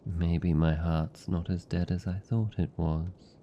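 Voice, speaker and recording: Casting: male actor as lonely British vampire count for ASMR roleplay, very low and gravelly, tender but possessive. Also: steady tone, a little hiss or hum. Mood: soothing, affectionate. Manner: soft, slow, soothing, lingering pauses.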